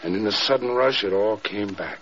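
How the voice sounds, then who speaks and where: An actor playing a reflective former American army major, telling his story in a measured vintage radio drama style. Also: fairly low pitch, slight background noise, lightly acted. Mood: intense, remembering. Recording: muffled old radio recording with loud music.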